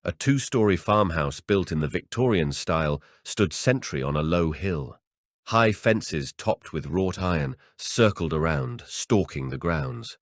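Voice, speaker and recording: Man, low deep voice, horror audiobook narration, scripted reading, dry studio sound. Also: animated, measured pace, no echo.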